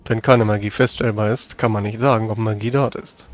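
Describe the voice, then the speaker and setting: A man playing a tabletop roleplaying game, speaking in character with measured, formal phrasing. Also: on the low side, steady tone, slight background noise.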